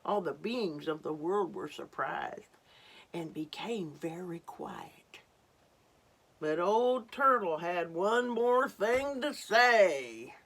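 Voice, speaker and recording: Female children's storyteller. Lowish voice, very slow pace, dramatic reading with murmured asides to young listeners. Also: lively intonation. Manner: dramatic, unhurried, drops to hushed murmurs in asides.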